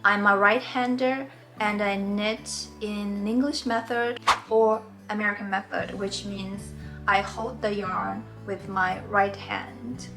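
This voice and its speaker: A woman in her forties who grew up in China and speaks English as a second language after Mandarin, guiding beginners through knitting. Her delivery is gentle, earnest and encouraging, pitched in an ordinary middle register. Faint music plays quietly underneath. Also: fairly even intonation, slow pace. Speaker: knitting tutorial host. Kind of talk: friendly instructional talk.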